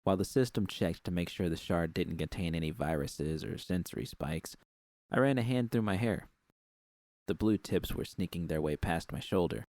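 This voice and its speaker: Male audiobook narrator for first-person fiction: deep, fry-edged voice, dry studio-like sound. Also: normal speed, a little mellow, no echo.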